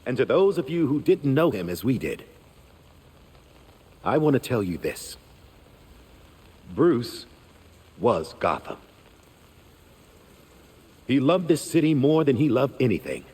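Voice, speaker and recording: An actor playing a grieving, dignified uncle in a video game, delivering a measured, heartfelt eulogy. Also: male voice, mid-range voice, very animated, mellow, breathy edge, heavily acted. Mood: mournful, proud. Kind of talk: video game cinematic acting. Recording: faint rain and background noise.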